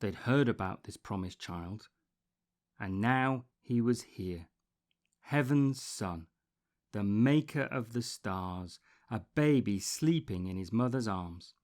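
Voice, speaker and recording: Man reading a children's storybook, slow and expressive. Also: fairly low pitch. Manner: deliberate, dramatic phrasing with pauses, repetition and emphasis. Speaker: storybook reader.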